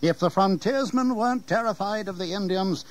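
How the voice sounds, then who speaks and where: A man playing a confident, evasive colonial English official in an audio history drama, speaking in measured declamation. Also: sits high, natural voice. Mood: assured. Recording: 1980s audio drama with background noise.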